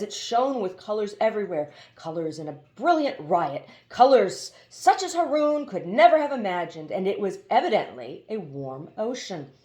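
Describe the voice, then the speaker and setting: A woman reading a children's novel aloud as expressive scripted narration. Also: mid-range voice, reverberant. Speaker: audiobook reader.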